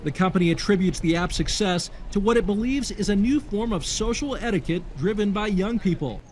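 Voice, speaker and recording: A man narrates a scripted news package as a reporter. His voice is pitched clearly high for a man. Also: animated, fairly quick, a little mellow, a little hiss or hum.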